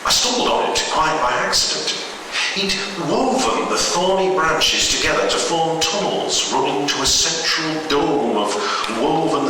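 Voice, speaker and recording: A man reading a horror story in the first person, in a measured, halting delivery with pauses. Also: a little high. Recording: very echoey, distant, noisy hall recording.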